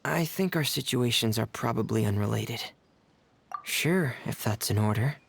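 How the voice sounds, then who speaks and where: A man's voice: mid-range voice, expressive, normal speed, creaky, a little hiss or hum. A man playing a shy, apologetic young diver with a hesitant, understated manner in a video game. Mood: quiet, thoughtful.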